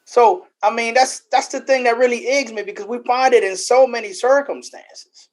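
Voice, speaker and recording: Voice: medium pitch, normal speed. An American podcast co-host giving opinions in casual panel talk, speaking emphatically and often repeating phrases for weight.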